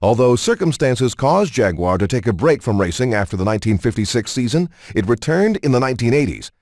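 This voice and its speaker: A man's voice: mid-range voice, big pitch swings, brisk, darkish tone, dry recording, studio quality. A man narrating a scripted car showcase for a racing game in a polished, promotional announcer style.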